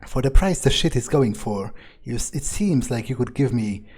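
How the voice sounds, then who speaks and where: A man roleplaying a cynical, amused, bloodthirsty vampire in a video game, husky, musing and sardonic in an improvised way. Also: mid-range voice, animated, moderate pace, darkish tone, lightly acted. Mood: sardonic, curious. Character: cynical, bloodthirsty, amused young male vampire, foul-mouthed.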